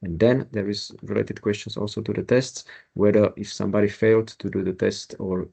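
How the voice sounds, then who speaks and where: A man with a low, husky, gravelly voice moderates an online webinar. He reads audience questions out politely and moves the session along. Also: fairly even intonation, fairly quick, warm tone. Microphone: video call.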